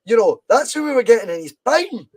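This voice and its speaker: A man's voice: very high voice, big pitch swings, fairly quick, darkish tone, husky. A Scottish man hosting a podcast, talking football with passion and repeating points for emphasis. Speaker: podcast host. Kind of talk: casual football chat.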